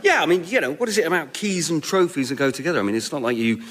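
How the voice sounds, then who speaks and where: An actor playing a hesitant, apologetic shop customer in a radio comedy sketch, halting and conversational. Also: male voice, on the high side, expressive, fairly bright tone, slight background noise. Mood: curious, hesitant. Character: hesitant, easily persuaded male shop customer.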